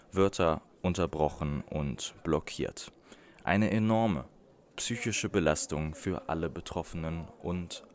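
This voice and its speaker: A man reading a scripted documentary narration in a measured, deliberate voice-over. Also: low voice.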